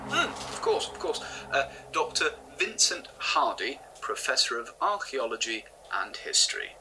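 A man's voice: medium pitch, wildly swooping pitch, measured pace, bright, forceful tone, soft background music, heavily acted. An actor playing an eager male archaeology professor, hesitant and stammering. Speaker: historian.

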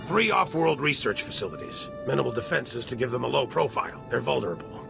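Agitated, very gravelly alien officer. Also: male voice, medium pitch, very animated, fairly quick, over music. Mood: urgent. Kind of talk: video game character acting.

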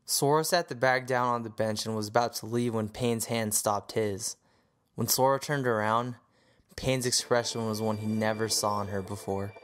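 A man's voice: lowish voice, steady tone, normal speed, fairly bright tone. A man reading scripted story narration as the narrator of an audio drama.

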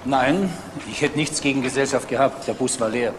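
Male actor as bus driver witness for crime radio play; colloquial, insistent, middle pitch, faint traffic ambience. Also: normal speed, natural voice. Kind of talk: German crime radio drama acting.

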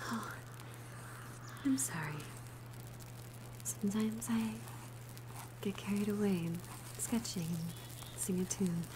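A woman voicing a gentle, shy young female alchemist in an ASMR roleplay, softly breathy, hesitant and tender. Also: mid-range voice, slow pace, pauses often, background noise, close to an everyday voice. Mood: shy, warm. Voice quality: soft, breathy whisper.

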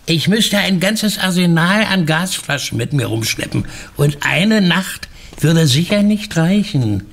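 Rough boastful crook, husky and gravelly, slow, swooping pitch, radio play. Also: male voice, animated, fairly bright tone, heavily acted. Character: rough, boastful master safecracker, slow-talking. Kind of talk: German radio play acting.

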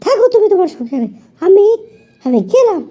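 Very shrill-pitched furious wife. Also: female voice, big pitch swings, brisk, background noise. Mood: furious, indignant. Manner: indignant, repetitive scolding. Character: furious, fed-up wife threatening to leave. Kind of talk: comic audio drama acting.

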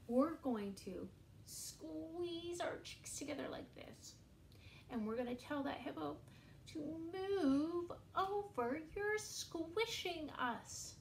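A woman in her forties leads a children's rhyme in a bright, high, lilting voice that swoops up and down. She speaks slowly and with exaggerated emphasis, as if to toddlers. She was recorded in a small room with echo and faint background noise. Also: vocal fry. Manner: slow, sing-song and exaggerated for young children. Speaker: early years educator. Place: small indoor room against a bare wall.

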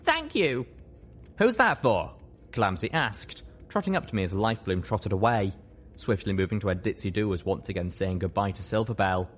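Low-voiced man reading a story. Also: a little fast. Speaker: audiobook reader. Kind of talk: scripted first-person story narration.

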